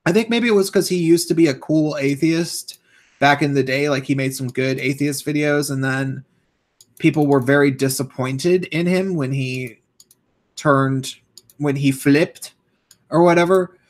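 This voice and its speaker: Young man, hoarse, rambling streamer monologue, a bit higher-pitched than most men. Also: measured pace. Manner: rambling, full of fillers and restarts. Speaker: YouTuber live-streaming. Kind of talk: casual live-stream rant.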